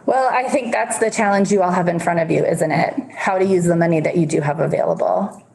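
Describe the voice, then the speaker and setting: A woman's voice: fairly low pitch, fairly quick, gravelly, darkish tone, low-quality recording. A woman testifying before a legislative committee, answering members' questions conversationally.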